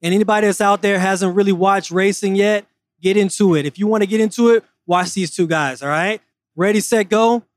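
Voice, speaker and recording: A man hosting an interview in a lively, upbeat way, speaking outdoors at a loud racetrack. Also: high voice, fairly quick, dry recording, clean studio sound. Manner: upbeat, hype-driven. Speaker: host.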